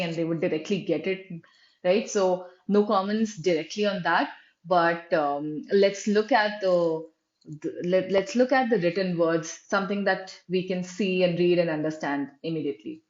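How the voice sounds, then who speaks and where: Female reviewer, chatty screen-share commentary, mid pitch, echoey and dull recording. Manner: conversational, frequent "right?" and fillers, restarts. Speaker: website reviewer. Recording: roomy, dull lo-fi sound.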